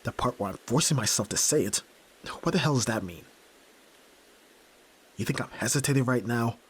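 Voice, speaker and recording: Man acting as husky, brooding young yakuza heir. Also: mid-range voice, moderate pace. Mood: stern, conflicted. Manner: brooding, curt, pushing the listener away. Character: brusque, tormented young male nerd, reluctant yakuza heir. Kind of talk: dramatic ASMR audio roleplay.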